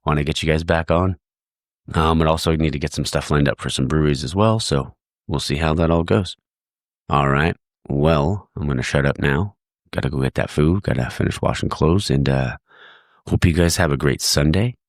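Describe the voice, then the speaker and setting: A congested, tired man wrapping up his podcast alone, relaxed and grateful. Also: rumbling low, normal speed, dry recording, clean studio sound. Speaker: podcast host. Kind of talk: solo episode outro.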